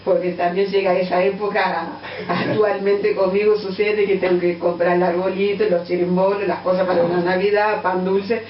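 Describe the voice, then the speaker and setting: A middle-aged woman from Angola with a Portuguese-tinged accent, a guest answering interview questions thoughtfully, with many pauses and fillers. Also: mid-range voice, flat delivery, a little hiss or hum. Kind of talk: radio interview. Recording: distant, roomy, dull lo-fi radio sound.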